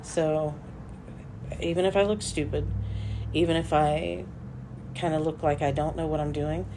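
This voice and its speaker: Hoarse lady doing a solo podcast, kinda low voice, earnest and encouraging. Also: fairly even intonation, unhurried, noisy background. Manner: earnest, encouraging, faith-centered. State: hoarse, losing her voice. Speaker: podcast host for a small publisher.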